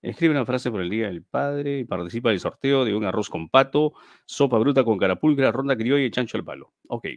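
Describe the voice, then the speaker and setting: A man's voice: medium pitch, expressive, brisk, no echo. A middle-aged man hosts a live stream giveaway from a small room on a webcam, chatting, repeating himself and reading out viewers' names.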